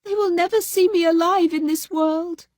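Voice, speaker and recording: A woman voicing a terrified young wife who pleads for her life in a high, desperate voice. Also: unhurried, darkish tone.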